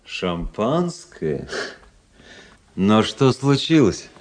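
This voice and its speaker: An actor playing a worldly, cynical young officer in a radio drama, reflective and sardonic, with a measured delivery. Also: male voice, lowish voice, big pitch swings, heavily acted. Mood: ironic. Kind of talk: radio drama acting and first-person literary narration. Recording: radio play with faint music behind.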